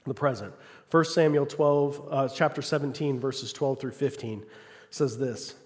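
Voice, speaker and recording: A man preaching a sermon, calling for "amen" from the congregation and swinging between loud, fervent passages and quiet asides. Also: mid-range voice, unhurried. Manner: call-and-response, asks congregation "Amen?". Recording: long hall reverb.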